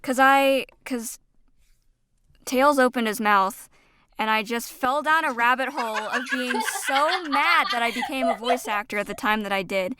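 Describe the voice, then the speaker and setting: A woman's voice: a little high, a little slow, pushed and bright. A woman co-hosting a podcast, chatting casually with blunt, slangy, exasperated opinions. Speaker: podcast co-host, voice actor.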